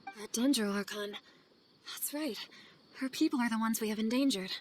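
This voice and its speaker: A woman's voice: on the high side, normal speed, a little mellow, close to an everyday voice. An actress voicing a weary, anxious female scholar in video game dialogue, earnest, hesitant and confessional in manner. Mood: hesitant, penitent. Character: guilt-ridden female scholar, weary, anxious assistant to sage. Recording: game audio over clear ambient noise.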